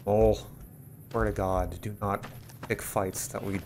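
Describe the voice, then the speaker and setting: A man's voice: lowish voice, little pitch movement, slow, a little mellow, slight background noise. A man giving casual, rambling gameplay commentary with a wry manner and some mild swearing. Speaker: gaming video host playing Fallout. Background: video game music.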